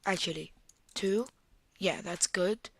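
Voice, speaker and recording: A young Italian woman with an Italian accent and a cold, talking through a screen-recorded software tutorial and thinking aloud as she clicks, at a desktop mic. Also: on the low side, slow, slightly rough. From Italy. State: has a cold.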